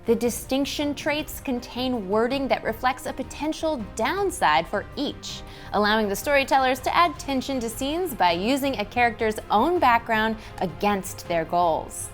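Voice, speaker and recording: A woman in her thirties hosting a scripted instructional explainer, speaking articulately with emphatic phrasing. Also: on the high side. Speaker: host. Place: indoor decorated studio set at a table. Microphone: lapel mic.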